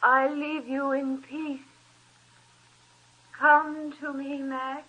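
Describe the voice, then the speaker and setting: An actress playing the ghost of a young woman seeking revenge in a 1940s radio horror play, speaking slowly and softly. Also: high voice, level tone, exaggerated voice. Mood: haunting. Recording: dull old radio recording.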